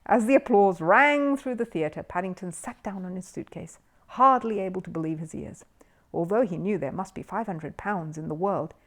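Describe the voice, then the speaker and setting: A woman reading a children's story aloud as an expressive narrator. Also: fairly low pitch.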